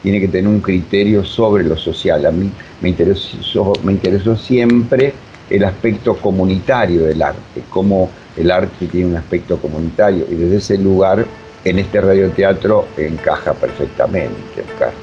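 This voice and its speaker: A man giving reflective interview answers, thoughtful and halting as he rephrases his ideas. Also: soft background music. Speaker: radio drama practitioner, interviewee. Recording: dull lo-fi audio with faint rumble.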